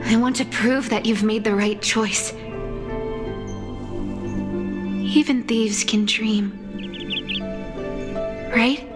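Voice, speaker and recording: A woman playing a solemn, regal mentor in a video game, gentle but stern, with grave formal delivery. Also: a little high, steady tone, slow pace. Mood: earnest. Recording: voice over loud background music. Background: loud music.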